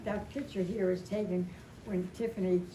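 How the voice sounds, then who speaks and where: A woman in her seventies giving an informal, rambling and emotional personal tribute at a memorial, telling stories in a halting way. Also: lowish voice, steady tone. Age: 70s. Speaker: widow speaking at a memorial. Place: indoor family memorial gathering. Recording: echoey, distant mic, noisy gathering room.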